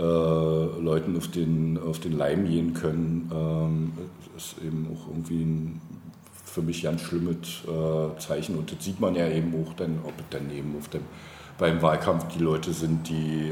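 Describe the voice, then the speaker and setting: A man speaking as a politician in a casual interview, halting as he searches for words. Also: a bass voice, little pitch movement, a little mellow. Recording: roomy echo, clear background noise.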